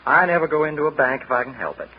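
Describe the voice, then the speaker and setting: An actor playing a proud, gallant gentleman, slyly polite and folksy, in a 1940s radio drama. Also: fairly high pitch, animated, racing, a little hiss or hum, lightly acted. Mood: folksy, casual. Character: easygoing, proud, gallant Southern gentleman, touchy about honor. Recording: muffled 1940s radio recording.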